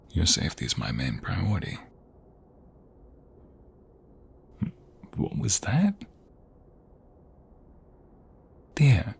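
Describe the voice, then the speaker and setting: A man playing a protective, affectionate mobster fiancé in an intimate ASMR roleplay, with a husky, breathy voice that often drops toward a whisper. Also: fairly low pitch, heavily acted. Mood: earnest, protective. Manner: affectionate, teasing, slow and murmuring. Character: protective, tender mobster fiancé soothing his beloved.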